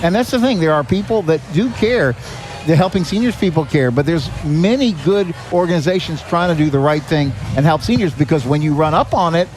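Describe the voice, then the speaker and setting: Earnest, higher-voiced man in conversation. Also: a little fast, darkish tone. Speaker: podcast guest, charity director. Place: live podcast at a restaurant.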